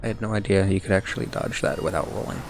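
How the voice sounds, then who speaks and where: Low-voiced man whispering over game music. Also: quick pace, gravelly. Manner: soft whispery delivery with mouth noises, talking to chat. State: throat sore from whispering. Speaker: streamer. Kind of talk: casual gaming commentary, trying ASMR-style whispering.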